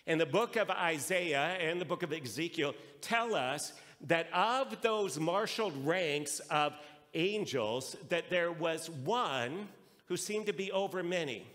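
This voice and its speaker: A man's voice: on the high side, animated, a little slow, a bit bright. A man preaching a sermon to a congregation as a pastor, explaining and posing rhetorical questions. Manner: explanatory, asks rhetorical questions and answers them. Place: large reverberant room, live preaching. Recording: reverberant hall sound.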